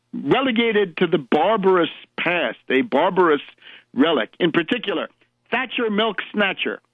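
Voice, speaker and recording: An American man hosting a radio show, delivering a polemical political monologue with emphatic, erudite, deliberate phrasing. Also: fairly high pitch, dry recording. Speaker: radio host and political commentator. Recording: narrow tinny phone-like radio audio.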